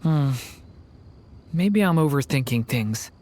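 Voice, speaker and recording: A woman voicing a gentle young boy in a video game, earnest, thoughtful and hesitant. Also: deep voice, animated, slow, a little hiss or hum. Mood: pensive, earnest.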